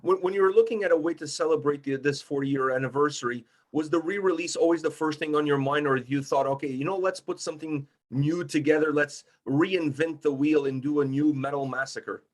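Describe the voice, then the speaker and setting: A man's voice: a little high, brisk, few pauses. A middle-aged man from Portugal speaking conversationally as the host of an interview.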